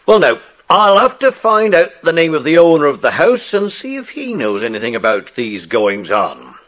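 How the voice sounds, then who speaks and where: An actor playing a brisk, jovial police inspector with a husky voice and a hearty, avuncular manner in a children's audio drama. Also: male voice, on the high side, big pitch swings, fairly bright tone. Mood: amused, scolding.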